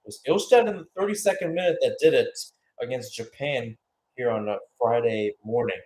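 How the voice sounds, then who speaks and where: A man's voice: mid-range voice, brisk, a little mellow, slight background noise. A man giving radio-style live play-by-play football commentary as the match commentator.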